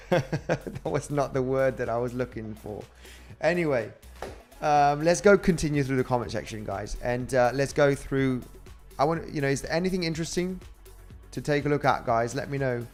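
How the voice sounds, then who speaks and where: A man with a Spanish accent, a crypto trading host chatting casually on a livestream and reading out viewer comments in a rambling way. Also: a little high. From Spain. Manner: rambling and chatty, with frequent fillers and laughs.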